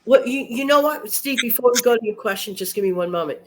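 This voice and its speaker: A woman hosts a casual interview. Her pitch moves up and down freely, and she affirms her guest in a friendly, chatty way. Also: lively intonation, a little hiss or hum, poor audio. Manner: affirming, chatty, quick to agree. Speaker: interview host.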